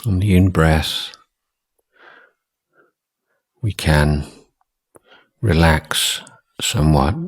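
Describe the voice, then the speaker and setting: Very deep, unhurried husky man guiding meditation. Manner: measured, deliberate, short phrases with long pauses. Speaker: Buddhist monk, meditation teacher. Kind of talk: guided meditation instruction.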